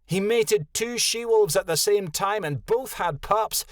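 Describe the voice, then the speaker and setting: A man voicing a stern, motherly female wolf elder in a high, gentle voice. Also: measured pace, no room sound, clean studio sound. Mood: accusing.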